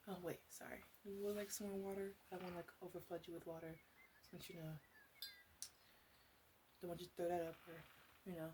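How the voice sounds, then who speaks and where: A woman's voice: fairly low pitch, little pitch movement, fairly quick, long pauses, lightly acted. A woman playing a flirty, doting, slightly bossy witch in an ASMR roleplay, rambling and hesitant as she slips in and out of whispers. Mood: attentive. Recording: clearly audible background noise.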